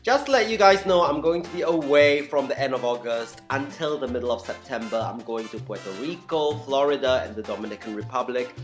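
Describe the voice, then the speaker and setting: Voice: mid-range voice, a little fast, few pauses, over music. An opinionated vlogger of unclear sex giving an explainer talk in a candid, blunt, informal way, stressing points with dramatic repetition. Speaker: vlogger and language enthusiast.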